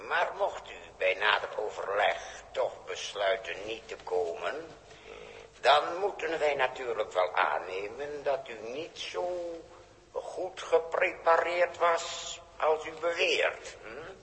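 Sly, grandiose warlord in a hall. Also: male voice, medium pitch, lively intonation, slow, a bit bright, a little hiss or hum. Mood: slyly courteous. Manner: theatrical, mock-polite, hemming and repeating himself. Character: sly, grandiose exiled warlord, cunning galactic game player. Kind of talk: 1960s radio drama acting. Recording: old radio recording in reverberant hall.

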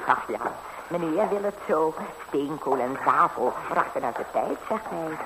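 An old 1960s radio recording with clear noise carries an old woman who keeps house in hell. She is slow and creaky, chuckling slyly. She clucks like a mother, with mockery underneath. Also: low-pitched, expressive. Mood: bustling, motherly. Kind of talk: 1960s Dutch radio fairy-tale acting.

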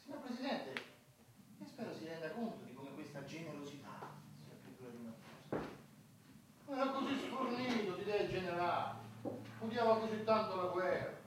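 An actress playing a bitter, outspoken clerk of the war dead, emphatic and complaining in a theatrical radio drama style. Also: female voice, fairly low pitch, animated, a little fast, natural voice. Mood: reproachful. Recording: distant echoey room, clearly noisy.

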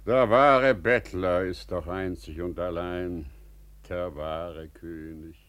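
An actor playing a wise, calm, dignified older merchant in classical radio drama, measured and sententious. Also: male voice, low voice. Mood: reflective.

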